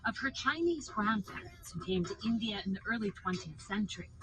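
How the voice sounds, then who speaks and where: A woman narrating a scripted radio report in a measured way, heard over a car radio. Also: medium pitch, expressive, low-quality recording. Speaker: radio correspondent. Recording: radio report under loud car noise.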